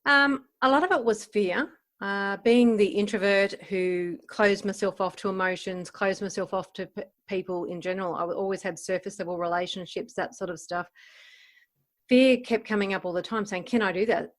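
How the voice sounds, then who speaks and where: A woman's voice: mid-range voice, normal speed. A woman of about fifty answering interview questions as a life coach, reflective and informal, often voicing her own thoughts as quotes. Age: around 50.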